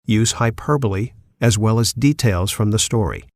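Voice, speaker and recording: A man's voice: fairly low pitch, normal speed, darkish tone, no echo, clean studio sound. A man reading scripted educational text aloud.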